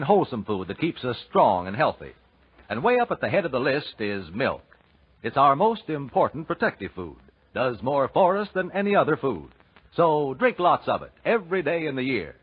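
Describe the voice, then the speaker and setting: A male announcer delivers a vintage radio commercial with emphatic salesmanship. His pitch is fairly high and very lively. The sound is narrow and phone-like. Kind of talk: scripted 1940s radio advertisement. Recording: husky-sounding, thin 1940s radio recording.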